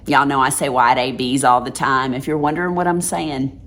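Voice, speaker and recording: Deep-voiced Texan woman chatting. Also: steady tone, moderate pace, a bit bright. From Dallas, Texas. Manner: chatty, drawling, laughs often. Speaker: channel host. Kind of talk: casual chat to viewers.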